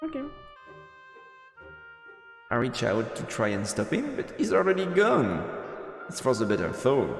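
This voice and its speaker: A man with a creaky voice and very lively pitch reads game text aloud for a live stream, stumbling and repeating words. The room echoes with a long reverb, and game music plays faintly behind him. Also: unhurried, long pauses. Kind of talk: live let's-play commentary, reading game text aloud. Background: video game music.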